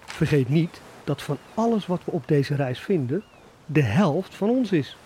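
A man's voice: fairly high pitch, lively intonation, a little slow, warm tone, a little hiss or hum. An actor playing a calculating, profit-hungry seafaring man in a historical radio play. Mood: cool, greedy.

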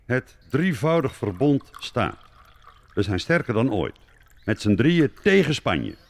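A man's voice: mid-range voice, big pitch swings, unhurried, creaky, exaggerated voice. An actor playing a wary, dutiful statesman in a radio drama, formal and terse with his prince. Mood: formal, uneasy.

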